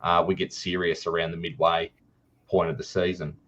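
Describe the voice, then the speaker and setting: A man's voice: low-pitched, level tone, normal speed, fairly bright tone, dull audio. A young man, co-hosting a casual podcast in an easygoing, hesitant way over a desk mic on a video call. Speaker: podcast co-host.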